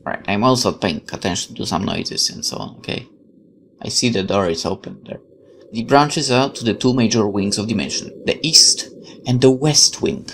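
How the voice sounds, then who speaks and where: Let's play commentator, lively swinging pitch, expressive, reads game text aloud, exclaims and questions. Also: normal speed, a little hiss or hum. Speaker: gaming YouTuber.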